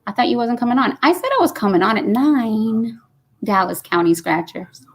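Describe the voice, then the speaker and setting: A woman's voice: on the high side, sing-song, normal speed, husky. A woman, hosting a casual live stream and chatting folksily as she reads comments aloud. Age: 50s. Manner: chatty and folksy, says y'all.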